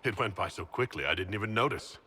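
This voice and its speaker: Fantasy game leader: calm, reassuring, dryly wry man, husky tone with long hall reverb. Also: on the low side, fast talker, heavily acted. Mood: gently amused. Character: calm, considerate male leader hero, lightly wry. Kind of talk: video game character dialogue.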